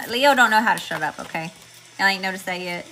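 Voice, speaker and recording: A woman reading tarot cards for her viewers in a chatty, slangy way, calling them y'all and listing card meanings one word at a time, with her voice going hoarse. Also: mid-range voice, brisk, noisy background. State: losing her voice. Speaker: tarot reader channeling messages. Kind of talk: casual spiritual reading to viewers.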